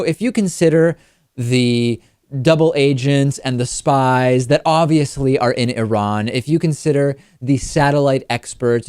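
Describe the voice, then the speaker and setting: A man's voice: medium pitch, a little mellow, no echo. Man hosting dry, close-miked political commentary. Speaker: political talk show host. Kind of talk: news commentary and analysis.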